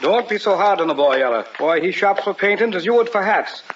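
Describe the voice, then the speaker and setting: Teasing, genial friend, lightish pitch, dull vintage radio drama sound. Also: male voice, animated, fairly quick. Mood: teasing, curious. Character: genial male family friend, teasing and curious. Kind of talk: old radio drama acting. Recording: dull, distant, faintly noisy radio recording.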